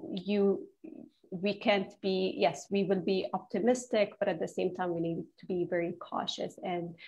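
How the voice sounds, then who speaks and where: Dull, lo-fi audio carries a woman with a somewhat low voice. She gives earnest panel commentary, choosing her words carefully, halting often and repeating herself as she builds each point. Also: darkish tone. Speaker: panel speaker. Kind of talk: earnest spoken commentary at a community Q&A.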